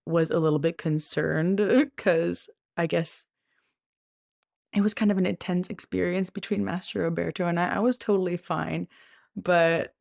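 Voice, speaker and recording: A woman with a lowish voice and plenty of vocal fry hosts a motivational podcast. She talks in an earnest, encouraging way and often drops in a "right?" as she goes. She is recorded dry and close on the mic. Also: darkish tone, no echo. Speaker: podcast host.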